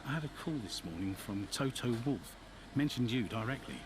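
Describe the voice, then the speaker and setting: A man's voice: medium pitch, animated, fairly quick, lightly acted. Jovial male racing team boss, played by an actor. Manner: chummy, breezy, polite. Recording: clear garage ambience with vehicles.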